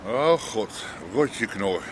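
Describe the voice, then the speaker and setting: An actor playing a gruff peep-show boss in a naturalistic radio drama, curt and full of street slang. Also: male voice, mid-range voice, animated, extremely slow, background noise. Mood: guarded, gruff. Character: gruff Amsterdam underworld peep-show boss, big-mouthed family man.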